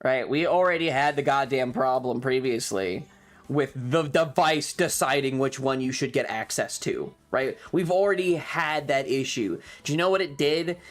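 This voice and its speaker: A man's voice: mid-range voice, moderate pace. A man chatting casually as a streamer, telling stories in an exasperated, rambling, sweary rant. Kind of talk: casual stream chat, ranting storytelling.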